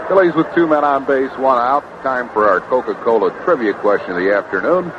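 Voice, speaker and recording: Clipped baseball announcer, noisy old radio. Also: male voice, medium pitch, expressive, fairly quick. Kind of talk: live radio baseball commentary. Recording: noisy, dull vintage radio broadcast. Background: loud outdoor stadium ambience.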